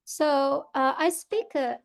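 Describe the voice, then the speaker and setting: A middle-aged woman with a Mandarin Chinese accent, a real estate agent speaking halting English full of fillers and restarts in an interview. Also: high-pitched, gravelly. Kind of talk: interview guest, unscripted.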